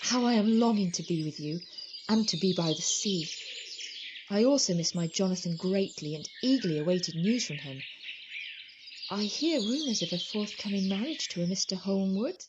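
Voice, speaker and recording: A slightly dull recording with clear outdoor noise carries a woman acting a young schoolmistress of radio drama. She is genteel and affectionate, voicing letters with wistful, formal tenderness. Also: medium pitch, lively intonation, moderate pace, natural voice. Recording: dull, noisy recording with birdsong.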